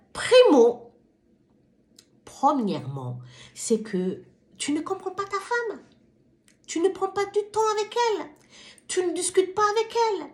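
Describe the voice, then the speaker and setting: A woman from Somalia hosting her own wellbeing vlog, speaking to viewers in a hesitant but impassioned, exhorting way. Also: mid-range voice. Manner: hesitant, stumbling over words. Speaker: channel host.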